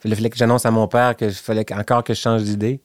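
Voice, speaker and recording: A man's voice: on the low side, little pitch movement, fast talker, no room sound, clean studio sound. A man from the Quebec City region with a Quebec French accent, chatting casually in an interview and telling stories with many restarts. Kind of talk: casual chat.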